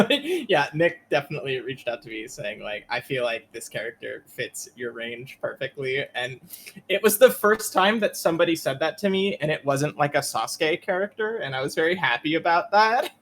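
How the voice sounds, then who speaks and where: Woman, lively swooping pitch, joking casual panel talk, faint background noise. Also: moderate pace. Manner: self-deprecating, joking. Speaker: voice actor on a fan dub Q&A panel.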